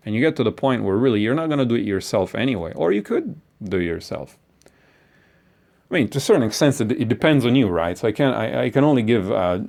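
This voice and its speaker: A man's voice: mid-range voice, gravelly, a little mellow. A blunt male course instructor lecturing in a loose, rambling way, swearing freely as he goes. His voice sits in an ordinary middle range for a man. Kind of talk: casual recorded lecture.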